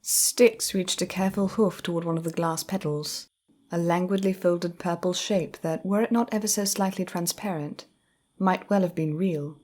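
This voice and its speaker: Low-pitched woman narrating a story. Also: fairly even intonation, normal speed, a little mellow.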